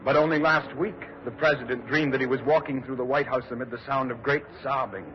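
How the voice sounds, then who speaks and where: An actor playing a shaken, grieving private secretary who speaks haltingly and solemnly in a 1940s radio drama. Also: male voice, mid-range voice, fairly even intonation, natural voice. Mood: grave, haunted. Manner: halting, solemn, repeats words. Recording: muffled, faintly noisy old radio broadcast.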